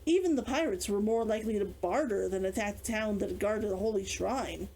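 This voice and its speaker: A woman reading a fantasy novel aloud as its author, with expressive storytelling. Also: medium pitch, brisk, rough recording. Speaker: author reading own book.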